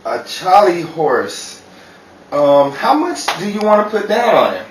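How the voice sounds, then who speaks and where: A man's voice: high voice, sing-song, measured pace. A man acting and announcing for a radio play troupe. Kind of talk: old-time radio announcing and rehearsal. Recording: echoey, distant mic, some noise and crowd.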